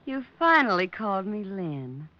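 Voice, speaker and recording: Swooping, flirtatious radio sweetheart. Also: female voice, medium pitch, big pitch swings, unhurried. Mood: coy. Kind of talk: 1940s radio detective drama acting. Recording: dull old radio recording, music behind.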